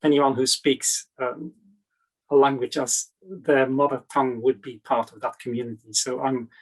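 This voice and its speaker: Halting Belgian scholar lecturing, man. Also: mid-range voice, fairly even intonation, slightly rough, rough recording. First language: Dutch. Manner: halting, many fillers and restarts. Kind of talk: academic video-call lecture. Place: home in England, on a video call. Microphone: video call.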